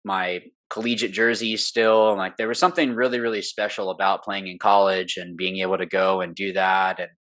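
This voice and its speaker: A man's voice: low-pitched, little pitch movement, a little fast, few pauses, fairly bright tone. A young man, a guest on an interview, talking at length in a rambling, earnest way with many fillers, from a home studio room. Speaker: guest, pro paintball player. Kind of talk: casual interview talk.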